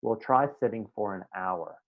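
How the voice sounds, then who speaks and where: A man's voice: lowish voice, normal speed. A man teaching a meditation class in a casual, conversational way, often checking that his students follow. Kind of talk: live online class teaching. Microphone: video call. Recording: tinny phone-like line.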